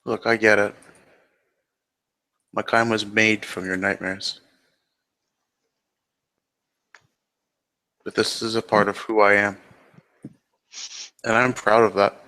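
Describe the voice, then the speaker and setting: A man playing a calm, proud changeling enchanter in a roleplaying game, terse and matter-of-fact. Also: lowish voice, unhurried, pauses often. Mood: proud, defensive.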